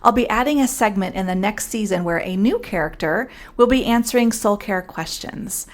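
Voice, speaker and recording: A woman's voice: mid-range voice, moderate pace. A woman hosting a podcast, speaking a scripted introduction straight to the listener. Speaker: podcast host.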